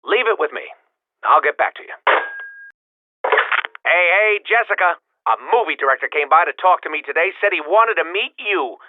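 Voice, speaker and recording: An actor playing a chummy, breezy showbiz talent wrangler in a video game, through an old telephone filter. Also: male voice, fairly high pitch, lively intonation, moderate pace, pauses often. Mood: chummy, upbeat. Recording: narrow, tinny telephone line.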